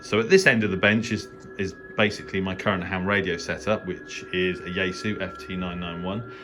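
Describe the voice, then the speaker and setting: A man's voice: low-pitched, steady tone, brisk, sharp tone, faint music behind. A middle-aged man with an English accent gives a casual, unscripted tour in an understated, hesitant, rambling way. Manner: hesitant, understated, rambling delivery with trailing asides. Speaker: amateur radio hobbyist giving a tour. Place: indoor home radio shack.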